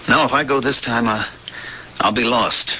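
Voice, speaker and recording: An actor playing a male ex-smuggler space pilot who is frightened and insistent, with a halting, tense delivery, in a 1970s radio drama. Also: mid-range voice, steady tone, bright, forceful tone, a little hiss or hum, natural voice. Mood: fearful. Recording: dull 1970s radio broadcast.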